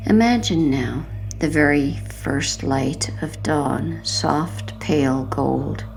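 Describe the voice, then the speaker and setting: Female hypnosis guide, low and slightly gravelly, soothing, hushed delivery for bedtime relaxation. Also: soft background music, rough recording. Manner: soothing, hushed, unhurried with long pauses. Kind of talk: guided sleep hypnosis narration.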